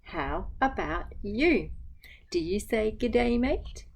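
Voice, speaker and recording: An Australian woman, a teacher, reading a picture book aloud to children and chatting gently between pages. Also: fairly high pitch, lively intonation, slow pace, vocal fry, noisy background. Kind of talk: storytime read-aloud with asides to children.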